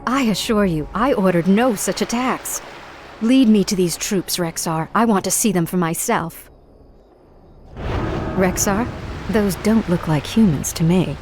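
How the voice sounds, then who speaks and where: A woman's voice: mid-range voice, unhurried, darkish tone, soft background music, natural voice. An actress playing an earnest, principled young noblewoman leader in a video game, speaking formally and with authority. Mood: indignant, firm. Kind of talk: video game cutscene acting.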